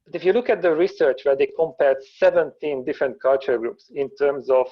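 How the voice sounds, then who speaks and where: A man from Hungary, giving a webinar presentation in a steady, explanatory way over a video call. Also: a little high, brisk. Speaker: guest presenter. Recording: dull, muffled webinar audio.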